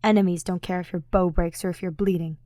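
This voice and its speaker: A woman's voice: on the low side, steady tone, fast, mellow, dry recording, studio quality, natural voice. A woman voicing a brusque, impatient young male fighter with a disdainful manner in an audiobook story. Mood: irritated. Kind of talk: fan fiction audiobook character voice.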